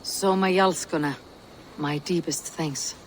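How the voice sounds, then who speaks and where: An actress playing a stoic, loyal female Viking raider in a video game, with a measured, earnest delivery. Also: deep voice, fairly even intonation, a little hiss or hum, low-quality recording. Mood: calm, sincere. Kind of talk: video game drama acting.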